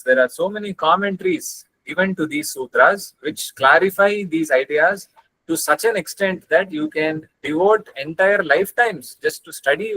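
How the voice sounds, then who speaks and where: A man's voice: on the high side, bright, forceful tone, a little hiss or hum, poor audio. A young man with a South Indian accent giving an online lecture over a video call, explaining ideas earnestly and reciting Sanskrit verses. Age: young adult. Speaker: guest lecturer. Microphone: webcam on video call.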